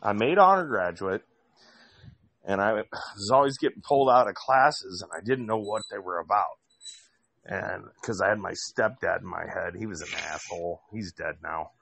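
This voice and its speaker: A man's voice: on the low side, moderate pace, creaky, a bit bright, husky, dry recording. A man from Indiana telling long, rambling stories in casual chat, with frequent restarts. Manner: rambling storyteller with many restarts.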